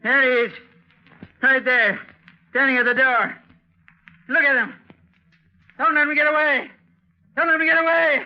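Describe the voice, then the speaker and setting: A man's voice: very high voice, steady tone, a little slow, pauses often. A man playing a crazed, vengeful old sea captain, ranting and repeating his demands in a 1940s radio drama. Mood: frantic, accusing. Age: older. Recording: dull, muffled 1940s radio recording.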